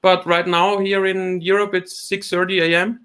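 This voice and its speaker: A man from Austria with an Austrian German accent, a guest on a video call chatting casually, laughing often and speaking in halting phrases. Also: high-pitched, level tone, fairly bright tone, clean tone. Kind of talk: casual chat. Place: home at night.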